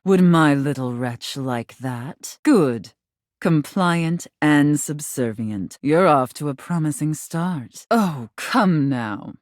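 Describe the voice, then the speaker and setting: A woman's voice: deep voice, dry recording, studio quality, full character voice. A woman voicing a haughty, teasing dominatrix in a video game, sultry and condescending in manner. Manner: haughty, sultry, condescending, drawn-out deliberate phrasing. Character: imperious, teasing dominatrix, a living safe in human guise. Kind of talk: video game character acting.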